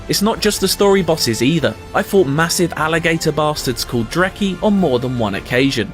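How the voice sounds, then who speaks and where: A man reading a scripted video game review as a voice-over, in a measured, articulate and wordy way. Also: on the high side.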